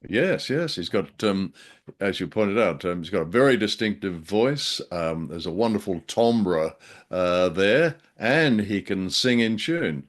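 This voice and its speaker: Brief: deep, very slow male co-host, hesitant casual chat. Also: expressive. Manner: unhurried, hesitant, filler-heavy. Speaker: podcast co-host. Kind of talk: casual discussion.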